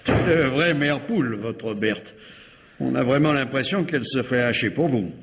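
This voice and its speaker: An actor playing a courteous, insistent police commissioner who repeats himself in measured questioning, in old radio mystery style. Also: male voice, medium pitch, close to an everyday voice. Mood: amused. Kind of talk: 1950s French radio mystery acting. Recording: reverberant hall-like radio drama sound.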